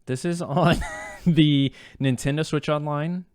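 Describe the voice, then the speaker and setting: A man's voice: on the high side, fairly even intonation, takes it slowly, a little mellow, clean tone, no room sound, clean studio sound. A man co-hosting a podcast, chatting casually in an informal way. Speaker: podcast co-host. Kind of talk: casual chat.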